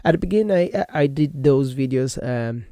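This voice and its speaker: A man's voice: mid-range voice, lively intonation, normal speed, warm tone, no room sound. A man whose first language is Portuguese hosts a solo podcast in a rambling, casual way, full of fillers and self-corrections. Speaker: podcast host. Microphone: computer microphone.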